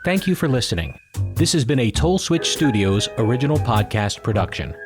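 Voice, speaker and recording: A man's voice: medium pitch, sing-song, a little fast. A man reading scripted closing credits as the announcer of a podcast production. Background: music.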